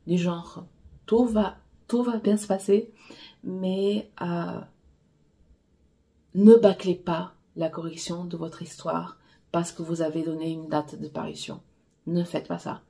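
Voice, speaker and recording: Gravelly low-voiced woman, native Portuguese speaker. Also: steady tone, takes it slowly, long pauses, dark tone. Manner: frank, direct, with frequent hesitations. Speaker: romance author coaching writers. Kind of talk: casual advice talk.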